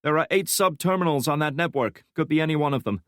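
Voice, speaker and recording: A man's voice: on the high side, fast talker, fairly bright tone, no room sound, studio quality, voice pushed up, lightly acted. Man voicing a focused young analyst. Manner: intent, matter-of-fact.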